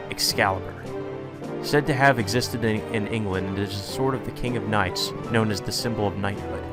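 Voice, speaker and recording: A man reading visual novel text aloud as a let's play host, with dramatic delivery and casual asides, over game music. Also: fairly low pitch. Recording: music playing behind the voice.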